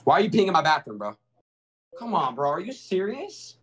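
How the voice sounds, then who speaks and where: A man's voice: high-pitched, wildly swooping pitch, a little fast, breathy edge. A young man in his twenties livestreaming from a bedroom, talking restlessly to his chat and friends with lots of swearing. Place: bedroom in a house.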